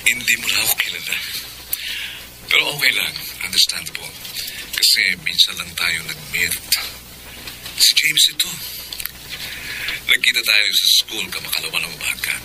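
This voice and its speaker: An actor playing a smooth, persistent, flirtatious Filipino man in a radio drama. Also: deep voice, lively intonation, normal speed, pauses often, background noise. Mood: suave, flirty.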